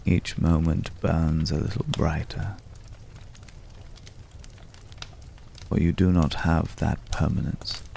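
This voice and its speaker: Man voicing a gravelly, slow, world-weary old gentleman. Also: a bass voice, fairly even intonation, a little hiss or hum, full character voice. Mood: wistful, admiring. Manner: measured, archaic, formal phrasing with long pauses. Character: world-weary old male companion of a vampire hunter, courtly, wistful. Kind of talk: ASMR roleplay, slow intimate storytelling.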